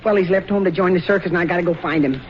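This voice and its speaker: A man's voice: high-pitched, fairly even intonation, rapid-fire, lightly acted. An actor playing a meek, bumbling family man who stammers hesitantly in an old radio comedy. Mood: worried. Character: flustered, meek, bumbling husband and father. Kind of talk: 1940s radio comedy acting. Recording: muffled noisy old radio recording, audience.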